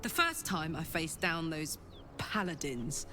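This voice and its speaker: A woman's voice: low voice, expressive, a little slow. A woman playing a brash, hot-blooded warrior in a video game, blunt, swaggering and sardonic. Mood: hopeful, frank. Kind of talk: video game dialogue acting. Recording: game dialogue with music behind.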